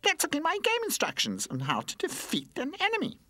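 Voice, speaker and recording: Brief: Eager little toy game gadget, bossy, played by a male storyteller, high and bright. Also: huge pitch swings, fairly quick, exaggerated voice.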